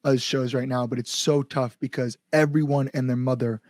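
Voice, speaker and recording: A man's voice: mid-range voice, steady tone, moderate pace, darkish tone, dry recording, clean studio sound. An American man speaking as an interviewed band front-man, casual and earnest, with informal phrasing. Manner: earnest, informal with mild swearing.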